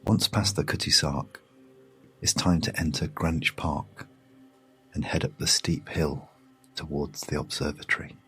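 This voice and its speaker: Very deep-voiced British man, soothing narrator. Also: fairly even intonation, creaky, husky. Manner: hushed, soothing, measured with long pauses. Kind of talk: guided sleep meditation storytelling. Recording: music behind the voice. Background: music.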